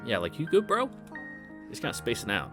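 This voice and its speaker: A man's voice: mid-range voice, wildly swooping pitch, a little fast. A man reading a visual novel aloud in a casual read-through, joking and swearing between lines. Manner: reads narration aloud, jokes and swears. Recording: voice over game music.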